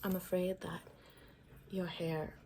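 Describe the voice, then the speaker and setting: A woman's voice: lowish voice, flat delivery, takes it slowly, darkish tone, slight background noise. A woman doing an ASMR roleplay as a makeover stylist, murmuring repetitive, reassuring step-by-step instructions in a personal-attention style.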